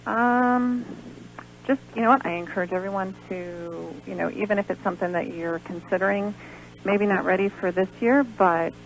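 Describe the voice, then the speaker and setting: A woman's voice: medium pitch, normal speed. A woman phoning in as a guest on a radio interview, talking in an earnest, encouraging way. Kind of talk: live promotional radio interview chat. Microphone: calling in by phone. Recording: lo-fi phone-in on radio, noisy hum.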